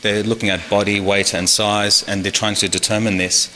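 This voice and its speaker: A man's voice: lowish voice, little pitch movement, normal speed, sharp tone. A man giving an academic medical presentation at a conference, explaining things informally and addressing listeners directly. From Australia. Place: conference hall. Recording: reverberant hall with faint noise.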